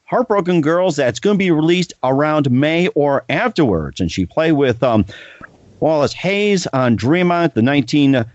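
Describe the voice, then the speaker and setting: Halting man hosting a podcast. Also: a little high, animated, dry recording, dull audio. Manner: halting, many ums and restarts. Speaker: podcast host. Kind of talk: casual interview and self-read sponsor spots.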